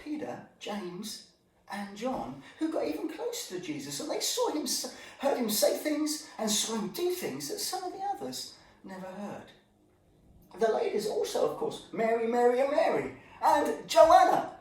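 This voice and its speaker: A man teaching a Bible story to children in an informal, animated way, with asides and exclamations to his young listeners. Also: very high voice, moderate pace. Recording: echoey room, distant microphone.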